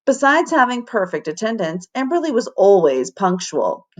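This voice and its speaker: A woman narrating a children's story as a teacher reading aloud, animated and dramatic. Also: medium pitch, moderate pace.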